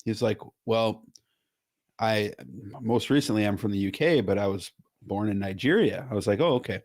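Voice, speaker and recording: A man's voice: lowish voice, moderate pace. A man hosting a casual podcast, joking around and telling stories with lots of energy. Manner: joking, animated storytelling. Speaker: podcast host.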